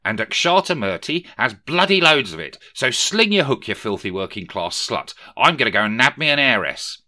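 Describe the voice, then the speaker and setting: Shrill excitable miser guy, loud and high, swoops around a lot, a man putting it on. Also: normal speed. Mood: excitable, whiny. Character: pompous money-obsessed male politician miser, excitable.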